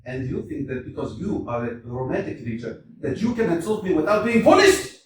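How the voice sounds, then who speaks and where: Man playing hot-tempered landowner, blustering, high lively voice, distant mic. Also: fairly quick, slight background noise. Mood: furious. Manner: blustering, theatrical, shouting bursts. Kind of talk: staged reading of a stage play. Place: small community theater. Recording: echoey room, far from mic.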